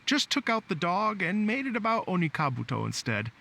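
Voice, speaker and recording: Upbeat, very bright-voiced rock musician. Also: male voice, sits high, sing-song, brisk, vocal fry, full character voice. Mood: easygoing, pleased. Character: upbeat easygoing male rock musician and festival organiser. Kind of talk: video game voice acting.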